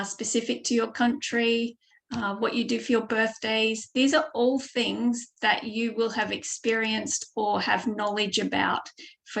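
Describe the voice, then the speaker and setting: A woman's voice: fairly high pitch, vocal fry, fairly bright tone. An Australian woman giving a webinar lesson as a speaking tutor over a video call mic, explaining steadily with frequent ums. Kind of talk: online webinar presentation.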